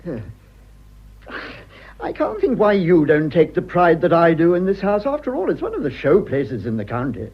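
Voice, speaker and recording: Petulant posh British husband, fussy and patronising, very animated pitch, period radio drama, slightly muffled sound. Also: male voice, moderate pace, breathy edge, exaggerated voice. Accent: British upper-class RP. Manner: fussy, spluttering, condescending. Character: prim, petulant upper-class husband, politician with taste for decoration.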